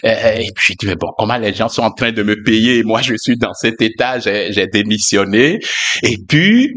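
A man, a pastor, sharing a personal testimony in a reflective, hesitant way with frequent pauses and fillers. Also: lowish voice, animated, a bit bright.

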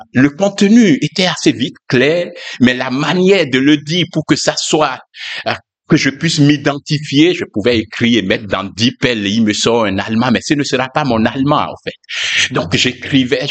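A man with a mid-range voice tells his story as a pastor sharing a personal testimony. He speaks reflectively and hesitantly, pausing often with fillers as he searches for words. His pitch sits in the ordinary middle for a man. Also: a bit bright.

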